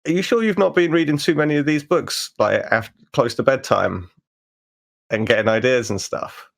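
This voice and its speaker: A man voicing a sceptical, sarcastic investigator in a tabletop game, with informal, halting speech. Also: mid-range voice, vocal fry, pitched above the performer's own voice, lightly acted. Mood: mocking. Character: sarcastic, sceptical male investigator, teasing and incredulous.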